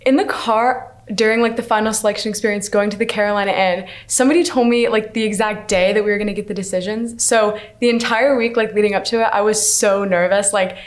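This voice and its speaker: A woman's voice: mid-range voice, steady tone, fast. A young woman around twenty from Maryland, telling a personal story in a chatty way full of "like". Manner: chatty, peppered with "like". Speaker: scholarship student.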